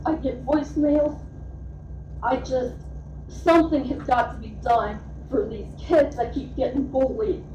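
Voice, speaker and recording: A woman speaking as a parent at a public comment, earnest and halting, with frequent fillers. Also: high-pitched, darkish tone, highs cut off, a little hiss or hum. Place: school board meeting room. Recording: echoey meeting room from far off.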